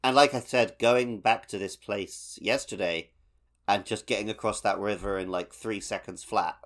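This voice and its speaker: A man's voice: lowish voice, normal speed, a bit bright. A man co-hosting a podcast, talking casually about a video game. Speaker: podcast co-host.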